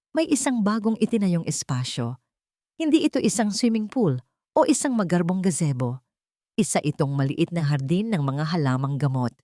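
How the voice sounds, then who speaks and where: A woman narrating a scripted audio drama story in a sentimental, earnest way, with measured phrasing and dramatic pauses. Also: low voice, darkish tone, no echo, studio quality.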